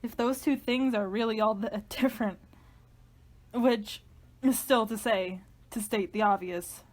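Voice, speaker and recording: A woman performing a dramatic monologue as a haunted, paranoid narrator who is unravelling, with brooding, halting intensity. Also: on the high side. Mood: feverish, intense.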